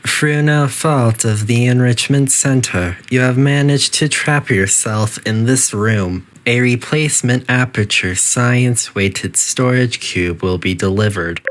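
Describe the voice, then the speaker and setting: A man voicing a condescending, passive-aggressive computer that runs a testing facility in a video game, with a measured delivery. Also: mid-range voice, lightly acted. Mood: clinical, instructive. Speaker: test chamber announcer and antagonist. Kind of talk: scripted video game voice lines.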